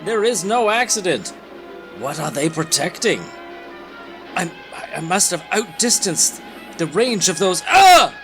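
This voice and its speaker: A man acts out an earnest, brash undersea superhero from a golden-age comic. The voice is high and swings dramatically in pitch, declaiming every line as melodrama over faint music. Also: very animated, unhurried, a bit bright, heavily acted. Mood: alarmed, dramatic. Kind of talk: comic-book dramatic reading.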